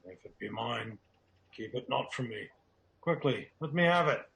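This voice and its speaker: A man's voice: medium pitch, expressive, normal speed, gravelly, a bit bright. A man playing an emotional, grave nobleman in a Shakespearean radio drama, speaking dramatic verse. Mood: anxious, urgent. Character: Macduff, a grief-stricken Scottish nobleman turned vengeful.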